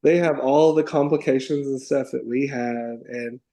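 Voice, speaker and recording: Bubbly, gravelly-voiced playful man. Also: medium pitch, fairly even intonation, normal speed, dark tone. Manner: upbeat and playful, many "like" fillers, laughs often; theatrical wizard persona, grand mock-formal phrasing. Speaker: podcast guest. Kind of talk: enthusiastic casual chat.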